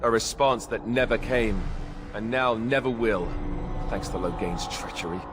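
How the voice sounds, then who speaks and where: A male actor's young fantasy warrior with a fairly low voice and dry, sardonic delivery. He is earnest beneath the wit, in the manner of a cinematic game hero, with prominent music behind him. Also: moderate pace, lightly acted. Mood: bitter. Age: young adult.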